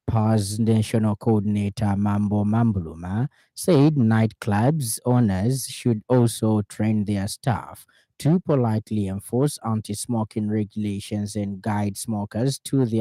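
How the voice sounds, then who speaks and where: A Zambian man reads the news in a low, mellow voice. He is formal and measured, delivering a scripted bulletin in a dry studio sound. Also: no echo. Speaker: radio news reader.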